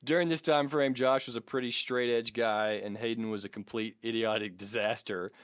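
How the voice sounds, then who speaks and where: A man's voice: medium pitch, steady tone, normal speed, smooth, no echo. American man, mock-grandiose podcast reader, dry sound. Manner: mock-grandiose, breaks into chuckles, sweary. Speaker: podcast co-host. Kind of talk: comic dramatic reading of a biography with casual banter.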